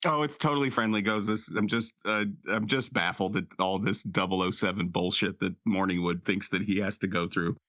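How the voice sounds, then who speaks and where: A man's voice: low-pitched, brisk, hardly any pauses, fairly bright tone, no echo, studio quality. A man playing a tabletop roleplaying game in casual, sardonic, exasperated banter with some swearing. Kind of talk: casual banter and in-character chat.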